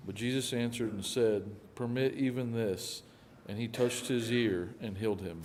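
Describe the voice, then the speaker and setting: A man reading a scripture passage aloud in a slow, even way. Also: medium pitch, slight background noise. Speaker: scripture reader.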